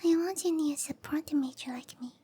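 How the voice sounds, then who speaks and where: A woman playing a small, shy and eager young cow monster girl mage in an anime-style roleplay ASMR, with bashful, hesitant, breathy delivery. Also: sits high, normal speed, slight background noise, close to an everyday voice. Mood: dejected. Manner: bashful, hesitant, breathy murmurs between bright chatter.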